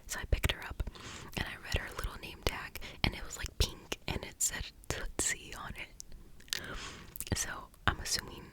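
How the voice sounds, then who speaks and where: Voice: normal speed, poor audio. A person telling a story in a fully unvoiced ASMR whisper, rambling with many "like"s and tripping over words. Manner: rambling, heavy use of "like"; gets tongue-tied, restarts often. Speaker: ASMR creator.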